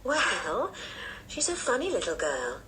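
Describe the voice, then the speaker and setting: A woman voicing a kindly, caring adult aunt with a gentle, reassuring manner in a storybook. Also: medium pitch, wildly swooping pitch, slow pace, slight background noise, exaggerated voice, rough recording. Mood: frank, fond.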